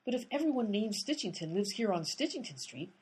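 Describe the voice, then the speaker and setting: An impatient amateur detective, played by a woman, talks briskly and sounds exasperated in a comedy radio play. Her voice is husky and breathy, with lively, swinging pitch. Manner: brisk and exasperated, pushing the case forward.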